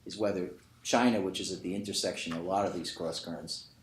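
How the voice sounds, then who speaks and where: Very deep-voiced man in echoey room. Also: steady tone, fast talker. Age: 50s or 60s. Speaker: economist on a panel. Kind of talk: unscripted panel discussion remarks. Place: conference panel before an audience. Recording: echoey room with faint noise.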